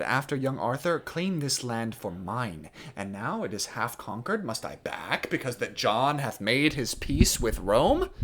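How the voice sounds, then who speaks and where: A man's voice: medium pitch, a bit bright. A man playing a proud, defiant young French prince, declaiming imperiously and indignantly in a classical Shakespearean stage monologue. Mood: proud, resolute.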